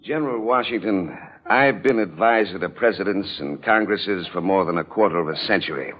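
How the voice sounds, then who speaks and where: A man's voice: low voice, brisk. A man speaking as a senior statesman advising a president, in grave, formal, declarative oratory. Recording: dull vintage radio recording.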